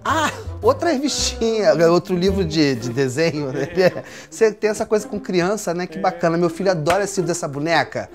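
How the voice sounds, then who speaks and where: A man's voice: high voice, expressive, brisk, few pauses, soft background music. A man playing a comic interviewer who jokes around with feigned confusion and laughter. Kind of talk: comedy sketch banter.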